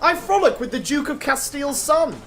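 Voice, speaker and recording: An actor playing a lovesick, captive young Portuguese prince with florid, courtly speech in a verse radio drama. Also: male voice, very high-pitched, very animated, moderate pace, pushed and bright, slight background noise, exaggerated voice. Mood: merry, boastful.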